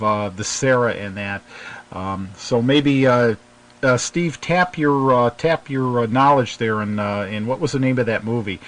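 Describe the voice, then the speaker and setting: A man hosting a radio show in casual chat, speaking haltingly and often circling back over his phrases. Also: medium pitch, fairly bright tone. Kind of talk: casual radio chat about shortwave hobby.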